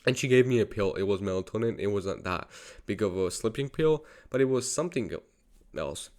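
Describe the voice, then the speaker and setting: Earnest young man, low voice. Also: animated, a little fast, a little mellow. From Georgia. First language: Georgian. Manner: earnest, repetitive, frequent swearing. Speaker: vlogger. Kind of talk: personal confessional monologue.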